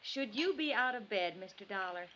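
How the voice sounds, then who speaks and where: A woman's voice: mid-range voice, lively intonation, normal speed, bright, forceful tone. An actress playing a polite, evasive married woman in an old-time radio drama, with a formal and solicitous manner. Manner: formal, solicitous, then protesting. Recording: dull, noisy vintage radio recording.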